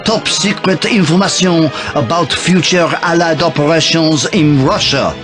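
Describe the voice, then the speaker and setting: A man's voice: fairly high pitch, measured pace, lightly acted. A man playing a smug, greedy, taunting turncoat agent in a video game cutscene, with music behind him. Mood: smug, menacing.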